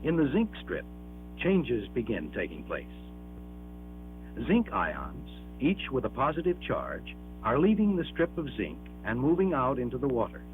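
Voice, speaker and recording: A man reads a scripted educational film narration in a measured, emphatic, explanatory manner, on an old 1960s film soundtrack. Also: mid-range voice, very animated, faint music behind. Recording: dull band-limited 1960s 16mm film soundtrack.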